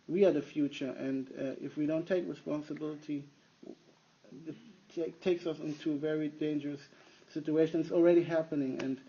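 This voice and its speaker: A man who works as a youth pastor, answering at length in a halting way with frequent restarts. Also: a little high, mellow. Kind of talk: conversational interview answer. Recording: dull lo-fi recording.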